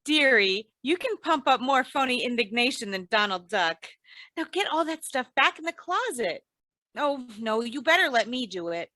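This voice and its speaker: An actress playing a sharp-tongued, scolding wife in old-time radio comedy style, chiding and wry. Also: female voice, a little high, big pitch swings, moderate pace, dry recording.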